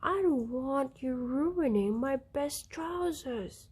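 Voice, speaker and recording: A woman voicing a fussy but affectionate father elephant in a storybook, speaking in a brisk, gallant way. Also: high-pitched, animated, a little mellow, pitched above the performer's own voice. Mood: irritated.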